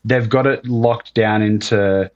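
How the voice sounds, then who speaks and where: A man hosting a podcast, native English speaker, chatting casually and relaxed. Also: lowish voice, slow pace, muffled recording. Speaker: podcast host. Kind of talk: casual interview chat.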